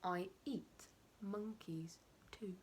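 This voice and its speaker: A woman voicing an enormous, sly male crocodile in a children's storybook, slow, low and often breathy, relishing each word with menace. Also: steady tone, darkish tone, slight background noise. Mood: gloating.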